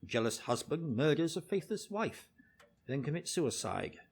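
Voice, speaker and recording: An actor playing a cool, incisive English detective in a radio drama, crisp, sardonic and lecturing, with a British accent. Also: male voice, a little high, big pitch swings, moderate pace, exaggerated voice. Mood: dryly superior.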